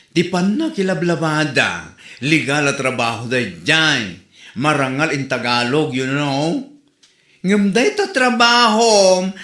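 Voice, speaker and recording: Comedy drama busybody, male actor, Filipino accent: sharp, animated, theatrical scolding and teasing. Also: a little high. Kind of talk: Ilocano comedy drama acting. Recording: roomy, echoing voice recording.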